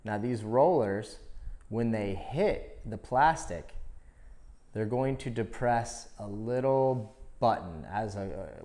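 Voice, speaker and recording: Low-pitched man giving hands-on instructions. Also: a little mellow. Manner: conversational, step-by-step. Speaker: product demonstrator.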